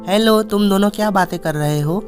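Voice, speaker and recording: A man's voice: high-pitched, fairly quick, close to an everyday voice. A man voicing a giant scheming monster crow in a children's cartoon, with a menacing, gloating delivery and music behind him. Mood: taunting, nosy. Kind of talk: Hindi children's cartoon voice acting.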